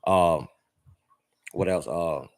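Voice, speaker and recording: Man, low voice, slangy, rambling, lots of fillers, talking to viewers. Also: very slow. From United States. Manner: rambling and conversational, with many fillers and repeated words; uses slang, addresses viewers as fam and y'all. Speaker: travel vlogger. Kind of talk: casual advice monologue to viewers.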